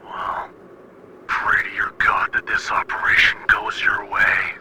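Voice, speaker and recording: A man's voice: sits low, huge pitch swings, full character voice. Man as a menacing commander over very noisy radio. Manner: deliberate, cold, condescending. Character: stern, menacing male military commander. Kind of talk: radio mission briefing in horror animation.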